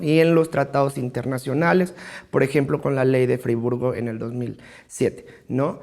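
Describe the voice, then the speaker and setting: Mexican man giving formal, deliberate explanations. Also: on the high side, darkish tone. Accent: central Mexican. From Mexico City, Mexico. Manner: formal, deliberate, often tags sentences with a questioning "no?". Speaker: interviewee, cultural rights advocate and political adviser. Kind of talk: interview answers, explanatory.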